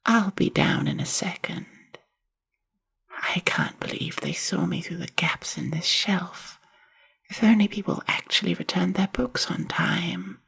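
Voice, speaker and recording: A woman voicing a reclusive, awkward spider-woman librarian in a whispery, husky ASMR roleplay, with a hesitant, dryly deadpan delivery. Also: fairly low pitch, heavily acted. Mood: flustered, then grumbling.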